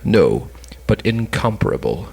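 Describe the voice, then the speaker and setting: Devoted young courtier for Elizabethan comedy, read by a young man: rough-edged voice, lowish, measured delivery. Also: very animated, a little mellow, exaggerated voice. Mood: reverent. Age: young adult. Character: lovesick young male courtier, devoted, lofty. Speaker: student reader in an amateur play reading.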